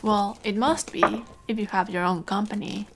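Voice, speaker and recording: A woman's voice: mid-range voice, steady tone, normal speed, heavy background noise, close to an everyday voice. An actress playing a kindly, inquisitive older farm mother with an encouraging manner in an audio drama. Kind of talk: naturalistic audio drama dialogue.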